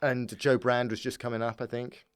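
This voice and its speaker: A man's voice: mid-range voice, little pitch movement, normal speed, clean tone, no echo, studio quality. A middle-aged British man chatting casually as a podcast guest, bantering and often repeating words as he starts a thought. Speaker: stand-up comedian, podcast guest. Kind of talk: casual chat.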